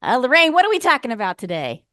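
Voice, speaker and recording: A woman podcast co-host from New York, chatty and animated, who jokes about herself. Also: a little high, fast talker, no echo, studio quality. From New York State. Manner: chatty, animated, self-deprecating. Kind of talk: casual podcast chat.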